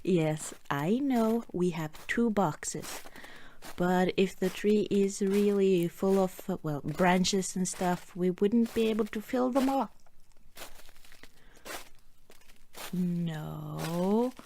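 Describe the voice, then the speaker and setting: A slow, gentle woman acting as a young girlfriend speaks with a Norwegian accent in an ASMR roleplay. She is chatty and affectionate, pausing as she finds her words. The medium-pitched voice sits over audible background noise and small clicks. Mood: cheerful, relaxed. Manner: soft-spoken, unhurried, hesitant, chatty.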